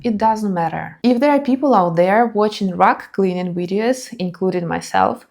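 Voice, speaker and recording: A woman's voice: mid-range voice, moderate pace, vocal fry, darkish tone. Upbeat woman with Ukrainian roots. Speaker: YouTube creator. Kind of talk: scripted advice vlog.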